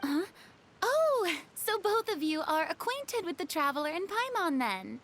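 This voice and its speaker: A woman's voice: extremely high pitch, big pitch swings, a little slow, fairly bright tone, a little hiss or hum, heavily acted. A woman voicing an upbeat, cheeky young woman in a video game. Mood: surprised.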